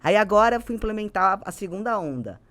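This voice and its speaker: A woman's voice: mid-range voice, lively intonation, fairly quick, a bit bright. A woman with a Brazilian accent speaking as an executive guest, explaining ideas conversationally and emphatically, with repetition and quoted phrases. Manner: emphatic, builds points with repetition and quoted speech. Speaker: podcast guest, business executive and mentor.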